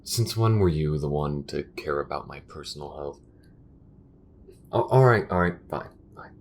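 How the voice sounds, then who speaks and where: A man playing a weary, grudging but caring spaceship crewman in an audio roleplay, talking in a halting, conversational way. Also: rumbling low, animated, slight background noise. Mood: grumbling. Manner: halting, conversational, muttering at times. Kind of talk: intimate audio roleplay acting.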